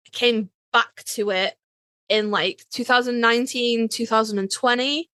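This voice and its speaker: A woman's voice: on the high side, steady tone, normal speed, bright, forceful tone, no echo. A British woman co-hosting a podcast in casual chat, informal and sweary. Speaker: podcast co-host.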